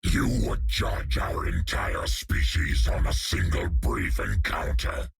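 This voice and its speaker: An actor voicing a menacing alien symbiote monster in a video game, with a hissing guttural rasp and ominous formal speech. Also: male voice, extremely low pitch, slow, no room sound, studio quality, faint music behind, exaggerated voice. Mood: hostile. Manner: ominous, formal, calls itself "we". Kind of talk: video game character lines.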